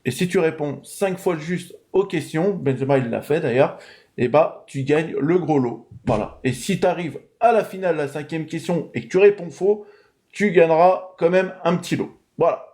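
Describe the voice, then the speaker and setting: Male live-stream host, high voice, chatty, runs a quiz for callers. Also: normal speed, a little mellow. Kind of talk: casual live-stream chat.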